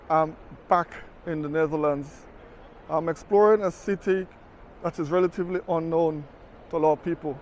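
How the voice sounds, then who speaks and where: A man's voice: fairly high pitch, slight background noise. A man talking casually as a travel vlogger, chatty and conversational, recorded outdoors on city streets with a camera mic while walking. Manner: chatty, conversational, fond of "you know".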